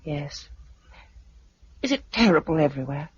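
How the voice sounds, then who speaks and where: A woman's voice: low-pitched, expressive, moderate pace, husky, heavily acted. A woman playing an affectionate, capable young British woman in a 1950s radio drama. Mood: soft, intimate. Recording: dull, noisy vintage radio recording.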